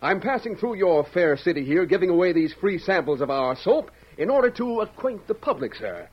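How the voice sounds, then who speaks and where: A man's voice: high voice, animated, fairly quick. An actor playing an over-polite, ingratiating traveling salesman in an old-time radio western. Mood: ingratiating, chirpy. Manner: effusive, ingratiating, flowery politeness. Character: smooth-talking, over-polite traveling soap salesman, secretly outlaw. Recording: dull, noisy vintage radio recording.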